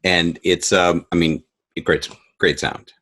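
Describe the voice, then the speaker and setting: A middle-aged or older man chatting casually as an interview guest, with a halting, self-correcting delivery. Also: low-pitched, steady tone, a bit bright. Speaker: podcast guest, audio drama creator. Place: home, in front of bookshelves. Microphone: USB desktop microphone.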